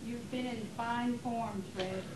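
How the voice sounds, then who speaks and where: A woman playing a gentle, patient wife who soothes and reassures, in an amateur stage play. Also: fairly high pitch, fairly even intonation, takes it slowly. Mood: soothing, reassuring. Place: theater during a rehearsal. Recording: echoey, very noisy theater rehearsal tape.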